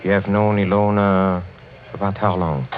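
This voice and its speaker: Man acting sinister European count, very low voice, courtly, insinuating, vintage radio drama, muffled recording. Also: little pitch movement, a little hiss or hum, natural voice. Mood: guarded, measured. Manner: courtly, insinuating, halting, with soft chuckles. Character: sinister, suave older European count, protective uncle. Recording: muffled old 1940s radio recording, faint music.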